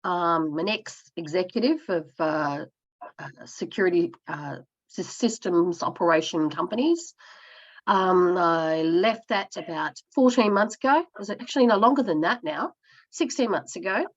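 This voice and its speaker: A woman in her fifties introducing herself on a video call, reflective and halting with fillers. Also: lowish voice, expressive. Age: 50s. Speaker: coaching group member. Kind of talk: casual self-introduction. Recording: dull lo-fi video call audio.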